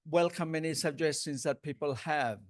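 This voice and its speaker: A man's voice: fairly high pitch, normal speed, a crowd in the background. A man on the panel answering a question, agreeable and casual.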